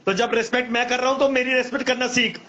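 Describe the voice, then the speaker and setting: A man's voice: very high voice, little pitch movement, rapid-fire, bright, forceful tone, lo-fi sound. A man in his thirties arguing on a live stream, insisting on respect and repeating his demands. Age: 30s. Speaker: stream guest. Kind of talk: heated casual argument.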